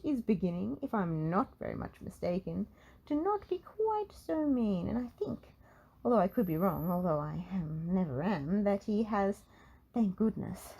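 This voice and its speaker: A woman voicing a wordy, stern male wizard from a children's storybook, with long-winded, drawn-out phrasing. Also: mid-range voice, animated, creaky, warm tone, a little hiss or hum, poor audio. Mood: musing.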